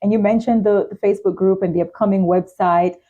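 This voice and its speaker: A woman's voice: medium pitch, steady tone, fast talker, narrow, tinny audio. A woman hosting a talk show and podcast, speaking as the presenter to guest and audience. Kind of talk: interview hosting.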